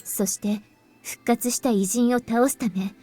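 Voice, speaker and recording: Woman acting a composed, high-voiced anime game heroine. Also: fairly even intonation, a little fast, warm tone, close to an everyday voice. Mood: serious, explaining. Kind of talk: Japanese visual novel voice acting. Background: game music.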